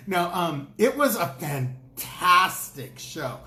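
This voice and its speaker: A man co-hosting a casual talk show, chatty and playful, breaking into high exclamations. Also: sing-song, takes it slowly, pushed and bright, reverberant. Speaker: co-host. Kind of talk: casual chat.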